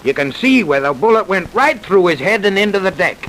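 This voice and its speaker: A man's voice: high voice, expressive, fairly quick, fairly bright tone, rough recording. A man playing a sceptical, homespun small-town marshal in an old-time radio drama, with colloquial talk. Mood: puzzled. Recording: hissy vintage radio recording.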